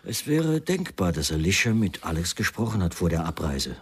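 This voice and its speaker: An actor playing an evasive writer under suspicion in a German radio crime drama, hesitant and casually dismissive. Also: male voice, sits low, very animated, moderate pace, slightly rough, heavily acted. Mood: evasive, guarded. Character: evasive male writer questioned about his missing wife.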